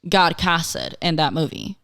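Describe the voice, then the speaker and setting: A woman's voice: deep voice, wildly swooping pitch, a little slow, vocal fry, fairly bright tone. A woman in her twenties, a Spanish speaker from Latin America with a light accent, chatting as a podcast co-host and filling her talk with "like". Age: 20s. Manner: chatty, lots of "like" fillers. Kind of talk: casual chat.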